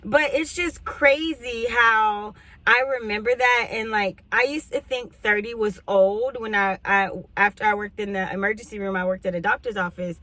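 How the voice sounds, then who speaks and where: A woman's voice: mid-range voice, normal speed, bright, forceful tone, no room sound. Chatty woman in her forties. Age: 40s. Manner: chatty, rambling, frequent asides and throat clearing. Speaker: couponing YouTuber. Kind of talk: casual chat to camera.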